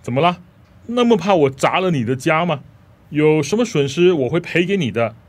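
Rich old master for radio drama: higher-pitched, commanding, testy. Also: male voice, animated, moderate pace. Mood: imperious, irritated. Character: imperious, grumpy older wealthy male master.

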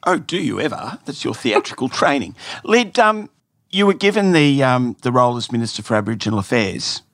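A man's voice: medium pitch, animated, brisk, a bit bright, no echo, clean studio sound. A male interviewer asking conversational, leading questions of his guest.

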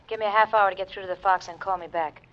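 Actress as a muffled, sultry gangster's moll. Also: female voice, medium pitch, fairly even intonation, fast, close to an everyday voice. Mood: cool, flirty. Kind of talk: 1940s radio crime acting. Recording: muffled 1940s radio, distant phone voice.

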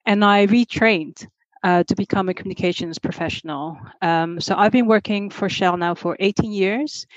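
A woman's voice: medium pitch, moderate pace, no room sound, lo-fi sound. A woman in her forties, raised in Singapore, talking as a podcast guest over a remote call, chatty and full of ums and restarts. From grew up in Singapore. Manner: chatty, many ums and repeated starts. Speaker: guest, communications manager. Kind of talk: podcast interview.

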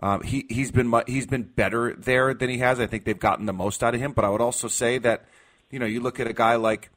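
A man's voice: medium pitch, steady tone, quick pace, a bit bright. A man in his forties with an American accent, hosting casual sports talk on the radio. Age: 40s.

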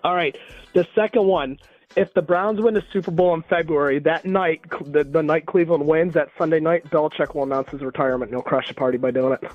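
A man's voice: on the high side, fairly even intonation, a little fast, dry recording, soft background music. A man calling in to a radio show, rambling and repeating himself as he chats. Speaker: caller. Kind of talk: casual call-in chat. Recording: dull phone line.

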